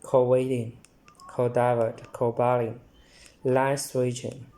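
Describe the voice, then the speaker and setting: Slow, flat, dark-voiced man demonstrating a product. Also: mid-range voice. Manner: halting, reading out short phrases.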